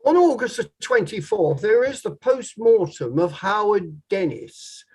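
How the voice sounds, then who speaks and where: A man playing a formal, probing barrister who questions in a measured way, in a table read of a courtroom play. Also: sits high, sing-song, a little mellow, breathy edge, heavily acted. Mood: pressing, formal. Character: probing male inquiry barrister, formal and methodical.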